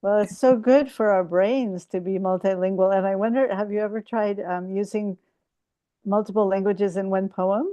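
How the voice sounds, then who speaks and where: Admiring woman asking gentle questions. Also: mid-range voice, fairly even intonation, normal speed. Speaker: audience member. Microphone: video call.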